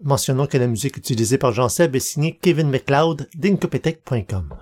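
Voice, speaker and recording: A man's voice: medium pitch, expressive, fairly quick, darkish tone. A man presenting a podcast in a scripted hosting style, introducing segments. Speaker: podcast host.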